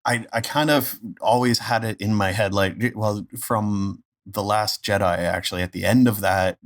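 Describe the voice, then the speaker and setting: Male podcast guest, low-pitched, wry and joking in casual conversation. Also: fairly even intonation, moderate pace. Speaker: guest voice actor and stand-up comedian.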